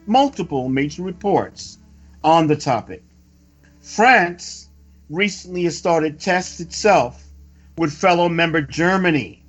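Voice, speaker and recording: A man reading a scripted news report with preacherly commentary, in a deliberate, emphatic and solemn way. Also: high voice. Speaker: religious report reader and commentator. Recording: dull lo-fi audio with music. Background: background music.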